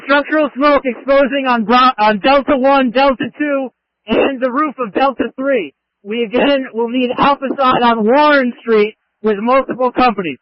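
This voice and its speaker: Man, very high pitch, fire chief, clipped and urgent, dry lo-fi two-way radio. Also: moderate pace, dry recording. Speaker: fire chief commanding a division at a fire scene. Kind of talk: urgent fireground radio traffic. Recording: muffled lo-fi emergency scanner radio.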